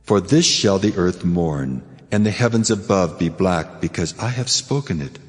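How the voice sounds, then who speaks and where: A man's voice: low-pitched, animated, moderate pace. A man playing a stern God pronouncing judgment in a dramatized scripture reading, solemn and commanding, in long reverb. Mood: resolute judgment.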